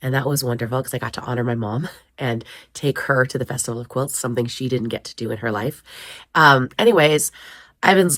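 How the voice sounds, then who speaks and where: A woman with a scratchy, croaky voice from a head cold, chatting casually to camera in her basement craft room. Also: rumbling low, no echo. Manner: chatty, rambling, with frequent ums and small laughs. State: hoarse, congested from a head cold. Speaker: crafting vlogger.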